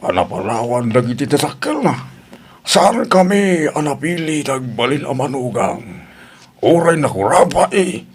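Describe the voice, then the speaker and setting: A man's voice: mid-range voice, big pitch swings, unhurried, slightly rough. A man playing a kindly, direct father of a grown daughter in an audio drama, frank and insistent, with a Filipino accent. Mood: approving.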